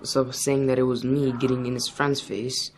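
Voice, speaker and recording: A defensive high school boy acted by a male student in a school dramatization. His speech is casual and repetitive, in a mid-low young male voice with little variation. Light ambient noise sits behind him. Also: flat delivery, moderate pace, lightly acted. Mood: grudging. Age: teenager. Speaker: student actor in a peer mediation dramatization. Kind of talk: acted school role-play dialogue. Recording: noisy room ambience.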